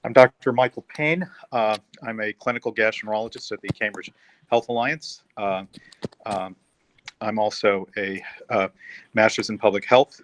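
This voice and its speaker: A man's voice: on the low side, fairly even intonation, moderate pace, a little hiss or hum. A man who is a doctor, introducing himself on a video call, hesitant and full of fillers. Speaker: gastroenterologist.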